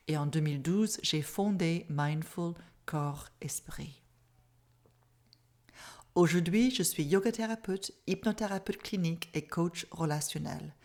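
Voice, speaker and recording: A middle-aged woman from Canada, a native English speaker with an accent, calmly teaching a mindfulness masterclass in a measured, soft-spoken way. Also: deep voice. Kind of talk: online masterclass presentation.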